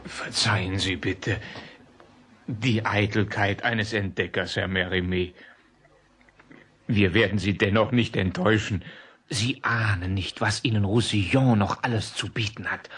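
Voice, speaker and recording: An actor playing a proud, effusive provincial antiquarian in middle age, florid and courteous, in a German radio play. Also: male voice, lowish voice, steady tone, a little slow, a bit bright, slightly rough.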